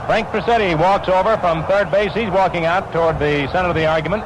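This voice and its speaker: An American man calling baseball play-by-play in 1940s radio style, describing the action in crisp phrases. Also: sits high, a little fast. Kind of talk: 1940s radio sports commentary. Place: ballpark broadcast position. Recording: noisy vintage 1940s radio broadcast.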